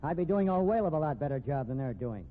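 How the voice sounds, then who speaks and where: Cocky, high-voiced reporter, crackly old radio. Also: male voice, very animated, phone-quality sound. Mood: boastful. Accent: American. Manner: brash, taunting, quick-witted. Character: cocky, needling young male newspaper police reporter. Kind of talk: 1950s radio drama acting. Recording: thin, noisy 1950s radio recording.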